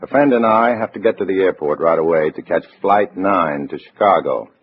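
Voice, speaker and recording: Guy acting hard-boiled american undercover agent, 1950s radio drama, really deep, measured, faint music, muffled old recording. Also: slight background noise, natural voice. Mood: brisk, guarded. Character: wary male undercover agent posing as loyal party comrade. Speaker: narrator and lead actor.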